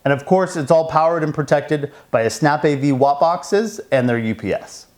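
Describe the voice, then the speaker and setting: A man's voice: a little high, moderate pace. A middle-aged American man presenting a semi-scripted product demonstration indoors at a house.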